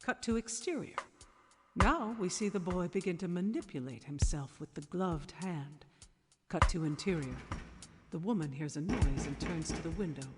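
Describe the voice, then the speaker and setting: An actress playing a theatrical screenplay narrator who calls out shots and actions in a deliberate, emphatic, dramatic style. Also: female voice, lowish voice, expressive, close to an everyday voice. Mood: sultry suspense. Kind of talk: scripted stage-direction narration. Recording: long reverb under music.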